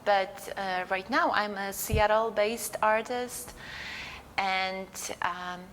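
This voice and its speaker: A woman's voice: mid-range voice, steady tone, slow pace, fairly bright tone, a little hiss or hum. A middle-aged woman from Poland, answering interview questions thoughtfully and searching for words. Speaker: guest artist. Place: museum gallery.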